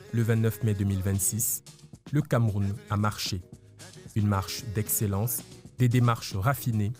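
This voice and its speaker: A man reading a scripted news report as a voice-over in a polished, measured way. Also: lowish voice, darkish tone.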